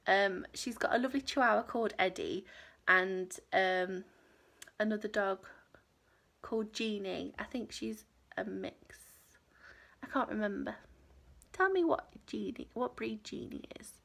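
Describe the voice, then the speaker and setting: A woman's voice: mid-range voice, unhurried, creaky, a bit bright. A woman in her thirties chatting casually to camera in a small home craft room, rambling and self-deprecating, sounding a little nasal from a cold. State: stuffy nose, nasal from a cold. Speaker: crochet vlogger.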